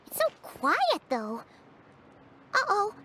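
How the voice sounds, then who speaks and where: A woman voicing a small, childlike fairy companion in a video game, chirpy and blunt, with a squeaky, expressive delivery. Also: takes it slowly, a little hiss or hum, full character voice. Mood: curious. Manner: refers to self in third person, expressive. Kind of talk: animated video game voice acting.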